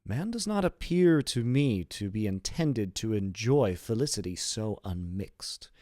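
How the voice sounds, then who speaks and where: A man's voice: on the low side, animated, a little slow, mellow, no room sound. Male reader as happy young bridegroom sailor, eloquent and emphatic storybook dialogue, dry close sound. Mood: earnest wonder.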